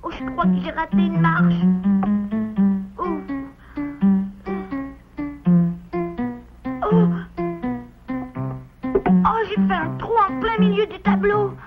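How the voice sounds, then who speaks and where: A woman's voice: extremely high pitch, sing-song, slow, pauses often, exaggerated voice. A woman voicing a mischievous, boastful little boy beaver in a children's cartoon, exclamatory and childlike. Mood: playful, flustered. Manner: exclamatory, self-assured, childlike. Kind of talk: dubbed children's cartoon acting. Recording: dull lo-fi cartoon soundtrack, loud music.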